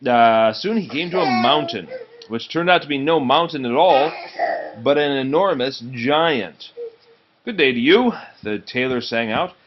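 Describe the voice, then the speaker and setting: A man reading a children's storybook aloud in an expressive way, pausing to speak to the children with him. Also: mid-range voice, muffled recording, noisy background. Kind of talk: read-aloud of a children's storybook, broken by asides to kids.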